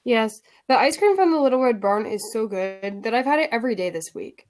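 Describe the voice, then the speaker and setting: A woman's voice: on the high side, animated, brisk, natural voice. A woman playing an eager, easily pleased dental patient in a scripted radio commercial.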